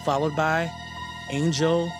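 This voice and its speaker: Slow, deliberate male horror podcast host. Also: fairly high pitch, flat delivery. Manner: measured, deliberate, pausing between phrases. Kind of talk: scripted podcast introduction. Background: prominent music.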